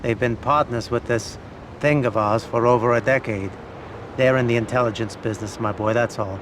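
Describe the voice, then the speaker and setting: An actor playing an elderly, shrewd and calm mob financier in a video game cutscene, speaking in a measured, fatherly, instructive way. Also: mid-range voice, noisy background, natural voice. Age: older.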